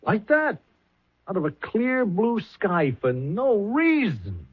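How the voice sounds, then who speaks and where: An actor playing a brash, cynical male hustler boss in an old-time radio drama, gruff, slangy and blustering. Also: high-pitched, huge pitch swings, slow pace, heavily acted. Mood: defensive. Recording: muffled vintage 1970s radio recording.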